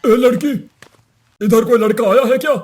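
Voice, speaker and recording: A man playing a menacing enemy soldier in a cartoon story, gruff, threatening and commanding. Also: very high-pitched, moderate pace, a little mellow. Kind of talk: Hindi cartoon moral story dialogue.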